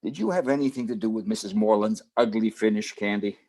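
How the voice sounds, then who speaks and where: A man's voice: lowish voice, fast, mellow, natural voice. A man playing an exasperated but fond police detective in an old-time radio drama, talking in hectoring slang. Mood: questioning. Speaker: supporting actor in community theatre radio recreation.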